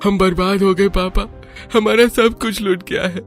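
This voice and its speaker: A man's voice: sits high, steady tone, a little slow, soft background music. A man playing a distraught adult son in a melodramatic cartoon family story.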